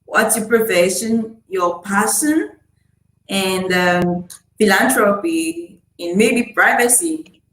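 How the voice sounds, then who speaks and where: A woman's voice: medium pitch, expressive, takes it slowly, a bit bright, reverberant, low-quality recording. A young woman from Cameroon co-hosting an interview, speaking effusively and full of praise. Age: young adult. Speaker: co-host. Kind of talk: casual interview chat.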